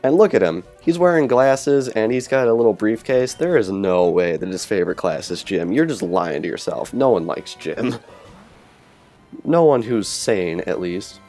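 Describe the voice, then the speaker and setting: A man's voice: lowish voice, animated, moderate pace, darkish tone. Chatty man on a cheap earbud mic. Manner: rambling, self-correcting, chatty. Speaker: let's play commentator. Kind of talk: casual gameplay commentary. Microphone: cheap earbud headset microphone. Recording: lo-fi earbud mic with game music.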